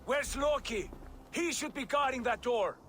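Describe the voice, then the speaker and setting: Tyr, resolute loyal war god. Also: male voice, very high-pitched, lively intonation, measured pace, a bit bright, slight background noise, exaggerated voice. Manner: earnest, resolute. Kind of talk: video game cutscene acting.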